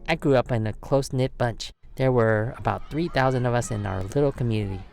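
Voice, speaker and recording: A man reading a children's picture book aloud as storyteller, expressive and given to dramatic pauses. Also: mid-range voice, gravelly, soft background music.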